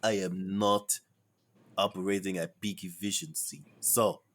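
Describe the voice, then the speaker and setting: A man's voice: deep voice, flat delivery, measured pace, voice pushed down. A man voicing an earnest young male spy cadet who talks in a grave, self-serious inner monologue. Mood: brooding.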